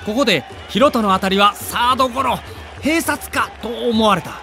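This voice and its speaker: A man's voice: high-pitched, normal speed, creaky, over music. A man narrating a scripted sports documentary in a dramatic, emphatic manner.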